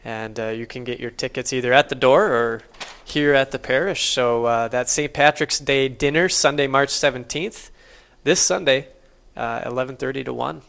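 A man's voice: mid-range voice, moderate pace, creaky, fairly bright tone, clean tone. An American man chatting casually on air as a radio host.

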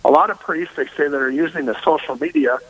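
Loud, energetic priest on a radio call. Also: male voice, on the high side, fast, breathy edge, poor audio. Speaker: parish priest. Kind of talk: radio interview by phone.

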